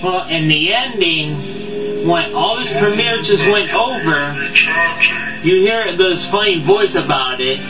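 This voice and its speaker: A man's voice: sits high, lively intonation, slow, music in the background. A man giving a casual, unscripted video review, rambling and stumbling as he repeats his words. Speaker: amateur video game movie reviewer. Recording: boxy echoey room, distant dull mic, background audio.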